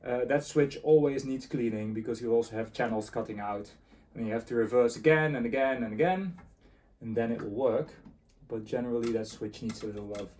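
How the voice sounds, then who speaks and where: A man's voice: mid-range voice, fairly quick, a little mellow, a little hiss or hum. A man giving a casual, unscripted hands-on demonstration, muttering to himself as he works. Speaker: host of a tape deck repair channel.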